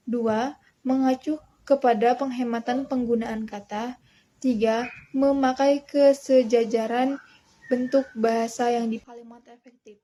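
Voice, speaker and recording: The kind of voice heard in a student's class assignment presentation: a young woman, fairly high and very mellow, formally reciting her prepared text phrase by phrase. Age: young adult. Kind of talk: scripted presentation, reading aloud.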